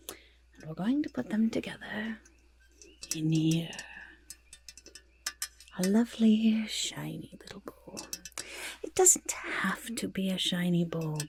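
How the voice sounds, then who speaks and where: A woman voicing a boastful, eager goblin in a soft-spoken ASMR roleplay, with a raspy half-whisper and fussy, repetitive phrasing. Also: on the low side, a little hiss or hum. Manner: speaks of self in third person, fussy, emphatic repetition. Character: boastful, eager goblin potion-peddler of playful temper.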